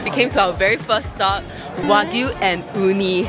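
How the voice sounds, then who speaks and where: A woman's voice: mid-range voice, normal speed, loud music behind the voice, a loud crowd. A young woman from Singapore chatting to viewers in a casual food vlog, filming herself at arm's length in an outdoor market. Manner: chatty, addresses viewers directly while tasting food. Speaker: vlogger. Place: outdoor street market, busy city. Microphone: handheld camera at arm's length.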